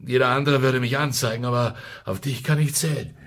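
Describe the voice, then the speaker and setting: An actor playing a fearful, desperate secret police chief in a radio drama, intense and halting. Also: male voice, mid-range voice, fairly even intonation, a bit bright, natural voice. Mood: desperate, confiding.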